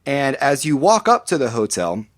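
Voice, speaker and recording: A man's voice: mid-range voice, sing-song, normal speed, no room sound, clean studio sound. A man, a podcast guest, describing a theme park ride in a rambling casual way with many fillers and restarts.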